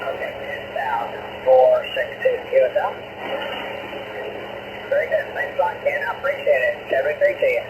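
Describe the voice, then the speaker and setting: A man's voice: medium pitch, huge pitch swings, moderate pace. A man speaking as an amateur radio operator in clipped exchanges, heard through a shortwave radio. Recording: very noisy, hissy shortwave radio reception.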